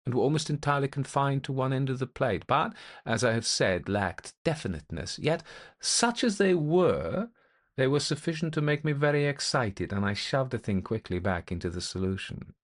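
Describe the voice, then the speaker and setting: A man narrating a ghost story as a methodical gentleman investigator, speaking in a formal, deliberate, old-fashioned way. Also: mid-range voice, big pitch swings, lightly acted. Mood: measured, intent. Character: male gentleman investigator recounting an eerie experience, methodical, intent.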